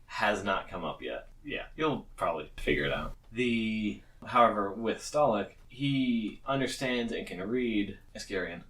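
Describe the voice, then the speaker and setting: A man's voice: on the low side, lively intonation, measured pace, few pauses, fairly bright tone, far from the mic. A man acting as game master, explaining rules in a casual tabletop game.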